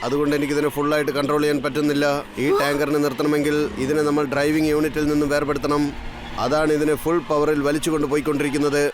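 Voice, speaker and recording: Man as a flat, fast-talking cartoon car. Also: a little high, a crowd in the background, close to an everyday voice. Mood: dutiful. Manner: even, matter-of-fact reporting. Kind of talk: dubbed children's cartoon acting.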